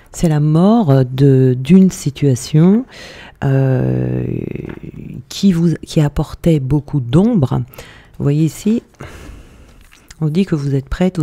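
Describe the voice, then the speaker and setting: Guy doing a tarot reading, super dark low-toned voice, bit of a rasp, lots of um's, comforting. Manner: hesitant, many fillers, reassuring. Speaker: tarot card reader. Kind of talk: spoken tarot reading to viewers.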